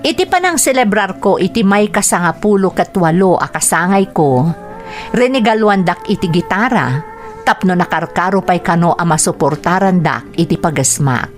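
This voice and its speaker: A woman voices a young music lover looking back fondly in a radio drama narration. The delivery is earnest and very expressive in pitch, slightly low in register, with faint music behind it. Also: normal speed, lightly acted. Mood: fond, reflective. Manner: reflective, earnest storytelling.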